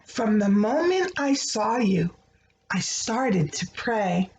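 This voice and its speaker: A woman reading a rhyming children's picture book aloud, with expressive, deliberate phrasing meant for young listeners. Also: mid-range voice, highs cut off. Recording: roomy echo, faint background noise.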